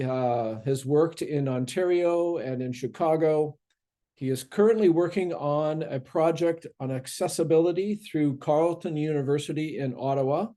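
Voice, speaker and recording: A man's voice: fairly high pitch, mellow. A man moderating a seminary panel on humility, introducing the speakers with many fillers and restarts.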